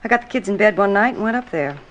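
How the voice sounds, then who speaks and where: An actress playing a blunt, defensive, gossipy apartment manager with an American accent in 1950s radio drama style. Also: female voice, mid-range voice, expressive, quick pace, a bit bright, lightly acted, low-quality recording. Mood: confiding. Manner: blunt, gossipy, folksy clipped grammar. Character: brusque, defensive, talkative apartment manager woman with children. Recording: vintage 1950s radio recording.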